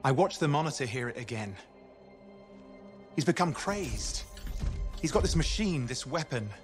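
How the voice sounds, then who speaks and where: An actor playing a flamboyant, smug young turncoat in a video game, sardonic, chatty and given to theatrical self-pity. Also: male voice, medium pitch, very animated, normal speed, soft background music, lightly acted. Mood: sombre, apologetic.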